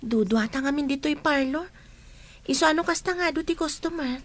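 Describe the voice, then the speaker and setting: A woman's voice: high voice, a little fast, natural voice. A woman with an Ilocano accent playing a chattering beauty parlor co-worker in a radio comedy drama. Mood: chatty, concerned.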